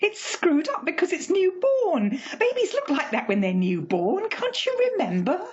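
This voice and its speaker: A woman's voice: very animated, unhurried, a little mellow, exaggerated voice. A woman playing a distraught, obsessively hopeful older mother in a radio horror play, pleading and emotional. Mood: tender, soothing. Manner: pleading, tearful, highly emotional. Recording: slightly dull home recording.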